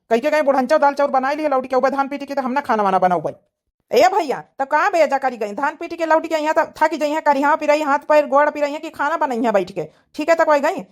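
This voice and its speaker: A woman's voice: high voice, lightly acted. A woman voicing an indignant grown village son in a comedy cartoon, scolding and arguing in an Awadhi accent. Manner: scolding, argumentative. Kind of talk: comedy cartoon family quarrel.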